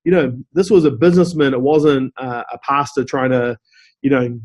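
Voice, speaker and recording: A man from New Zealand with a New Zealand accent, answering interview questions in a steady, explanatory way and often saying "you know". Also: medium pitch, normal speed. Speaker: guest, company CEO.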